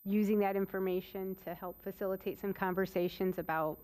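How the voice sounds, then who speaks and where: A woman commissioner speaks earnestly at a city meeting. Her voice is mellow and her pitch stays flat and even. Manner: measured, earnest. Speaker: commission member. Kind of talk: meeting discussion.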